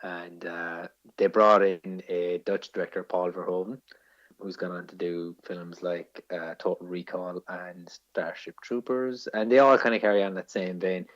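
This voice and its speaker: A low-voiced Irish man chats about films as a podcast co-host. He explains things with a geek's enthusiasm and swears freely. The audio is a little dull and lo-fi. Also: level tone, a little slow. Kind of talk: casual film discussion.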